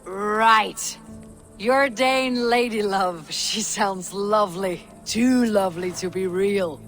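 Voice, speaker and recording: A woman's voice: medium pitch, slow, a bit bright. An actress playing a female Viking warrior with a dry, teasing manner in a game cutscene. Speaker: game character. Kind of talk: video game cutscene acting. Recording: game audio with clear music.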